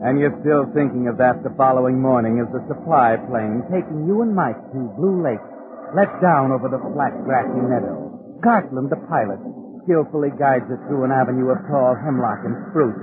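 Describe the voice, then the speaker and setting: A man's voice: medium pitch, normal speed, noisy background, close to an everyday voice. A man playing a sinister, knowing narrator in 1940s radio suspense style, dramatic and insinuating. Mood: ominous. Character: sinister, knowing narrator addressing the schemer as his conscience. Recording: tinny 1940s radio recording, music behind. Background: dramatic music.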